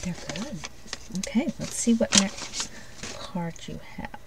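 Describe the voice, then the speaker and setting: A woman doing an ASMR role play as a shopkeeper, speaking softly and gently, often breathy and near a whisper, in unhurried short phrases. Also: mid-range voice, very animated, recorded from a distance, very noisy. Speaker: ASMR host playing a shopkeeper giving card readings.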